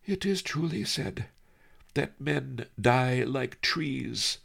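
A man voicing a calm, wily old sage who speaks in a dry, reasoning tone. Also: mid-range voice, extremely slow, gravelly, breathy edge, pitched above the performer's own voice, heavily acted. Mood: wry.

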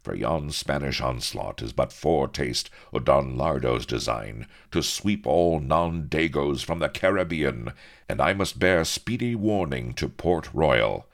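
A man's voice: extremely low pitch, lively intonation, measured pace, pitched above the performer's own voice, heavily acted. A pompous young officer hero in a comic audiobook. He is plummy and easily outraged, his raised voice swooping about with schoolboy indignation. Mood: haughty.

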